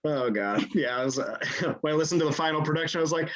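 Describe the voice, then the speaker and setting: A man chatting casually and agreeably in a halting way, as a co-writer on a panel. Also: on the high side, a bit bright, highs cut off, a little hiss or hum. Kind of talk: casual chat. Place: remote group call on a computer.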